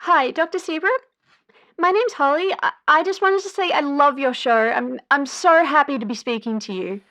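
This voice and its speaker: A woman's voice: sits high, moderate pace, pushed and bright. A woman playing an anxious, earnest young caller to a radio advice show in an audio drama, chatty and hesitant. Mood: eager, nervous. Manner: hesitant, chatty, with filler words.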